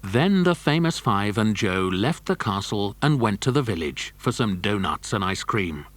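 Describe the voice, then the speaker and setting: A man's voice: on the low side, sing-song, normal speed. A man narrating a scripted children's adventure story.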